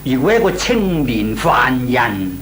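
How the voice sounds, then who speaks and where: A man playing a patient older uncle in an old Hong Kong Cantonese radio comedy, explaining and chiding in a deliberate way. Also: medium pitch, sing-song, fairly bright tone, rough recording. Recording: old radio recording with hiss and hum.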